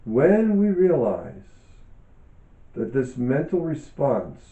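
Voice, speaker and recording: A man reads a reflective self-help text at a slow, deliberate pace, leaving frequent pauses between short phrases. His voice is very soft-edged and dark. The recording is dull, with noticeable room echo. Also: mid-range voice, wildly swooping pitch. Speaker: author and yoga teacher reading from own book. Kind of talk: measured reading aloud, reflective self-help teaching.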